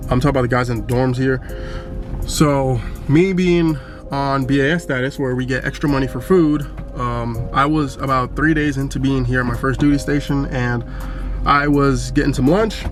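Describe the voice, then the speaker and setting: Raspy, congested young man in a car. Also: mid-range voice, fairly even intonation, moderate pace, soft background music. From South Florida. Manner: loose and chatty, lots of "like" and "um". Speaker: vlogger, military member. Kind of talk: casual vlog while driving. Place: inside a car. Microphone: camera mic.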